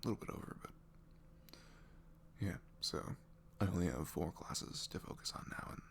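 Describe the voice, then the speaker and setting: A young man playing an awkward, apologetic college-age ex-boyfriend in an intimate ASMR audio roleplay, speaking softly and haltingly, often close to a whisper. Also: deep voice, expressive, creaky, a little hiss or hum, exaggerated voice. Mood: awkward, polite. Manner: hesitant, halting, trailing off.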